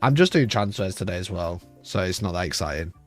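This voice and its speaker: A man with a rough, hoarse voice, chatting casually on a livestream and rambling with frequent restarts. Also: low-pitched, animated, fairly quick, soft background music. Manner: rambling, restarts mid-sentence. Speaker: livestream host.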